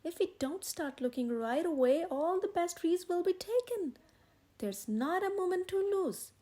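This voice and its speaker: A woman's voice: extremely high pitch, a little slow, voice pushed up. A woman reading as an eager, stubborn bear. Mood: insistent. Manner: insistent, excited.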